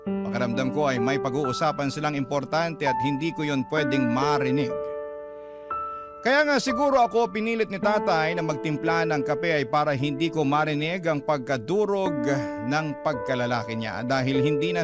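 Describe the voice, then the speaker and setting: Filipino guy reading a dramatic first person story, highish voice, loud music behind. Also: moderate pace. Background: prominent music.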